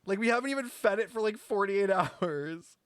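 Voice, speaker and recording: A woman's voice: medium pitch, moderate pace, no echo. Dry-miked young woman streamer, slangy and sarcastic. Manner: slangy, sweary, sarcastic, animated exclamations. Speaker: game streamer. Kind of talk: casual playthrough commentary.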